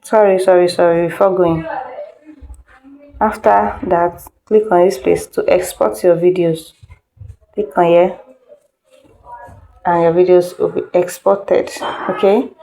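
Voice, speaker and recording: A woman's voice: lowish voice, steady tone, slow, creaky, pauses often, warm tone, rough recording. A woman instructor giving an informal step-by-step tutorial, repeating instructions and checking in with listeners. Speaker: video editing instructor.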